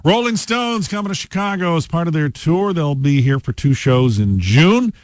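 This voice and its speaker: A man's voice: a little high, expressive, moderate pace, no room sound, studio quality. An American man hosting a rock radio show, talking in casual, conversational DJ patter with playful asides. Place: radio studio. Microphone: close studio broadcast mic.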